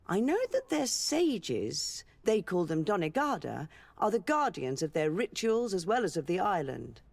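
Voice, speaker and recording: A cultured noblewoman governor in a fantasy game. Her voice sits fairly low, and she speaks formally and with care, learned and diplomatic. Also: big pitch swings, gravelly, a little mellow, heavily acted. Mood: fascinated, respectful. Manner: measured, formal, articulate. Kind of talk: scripted video game dialogue.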